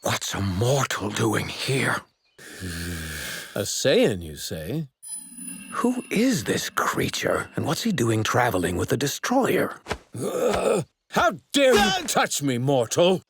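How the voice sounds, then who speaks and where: An actor in an English anime dub playing a haughty young divine apprentice with cold contempt for mortals, speaking in formal, pompous, precise phrases. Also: male voice, medium pitch, sing-song, takes it slowly, gravelly, background noise, exaggerated voice. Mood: indignant.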